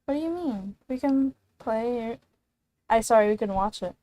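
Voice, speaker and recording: A woman's voice: fairly high pitch, expressive, moderate pace, warm tone. A woman game streamer chatting casually on a livestream in a rambling, giggly way.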